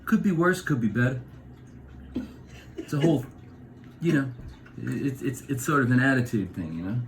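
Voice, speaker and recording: A man's voice: medium pitch, normal speed, slight background noise. A middle-aged man from New York with a New York accent, talking in a casual comic monologue and jumping between topics. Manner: animated, digressive, slips into voices. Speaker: vlogger.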